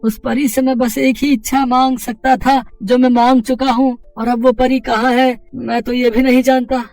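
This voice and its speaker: Man playing a very high-pitched eager village husband. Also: normal speed, no echo, distant mic. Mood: exasperated, worried. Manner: stammering, excitable, complaining. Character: poor simple village husband, eager, stubborn dreamer.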